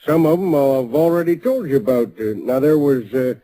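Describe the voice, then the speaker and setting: An actor playing a folksy old male storyteller of the desert in a 1940s radio drama, drawling and unhurried. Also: medium pitch, expressive, mellow, slight background noise, close to an everyday voice, rough recording. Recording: old radio broadcast recording.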